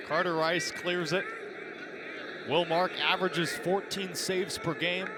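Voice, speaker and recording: American guy doing lacrosse play by play, pretty high voice, really noisy venue behind. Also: fairly even intonation, slow pace. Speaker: play-by-play announcer. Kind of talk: live lacrosse commentary. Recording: loud stadium ambience behind broadcast commentary. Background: game ambience.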